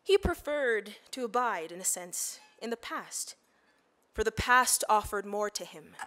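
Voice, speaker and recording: A woman actor giving a dramatic reading of literary fiction with expressive, deliberate phrasing. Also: medium pitch.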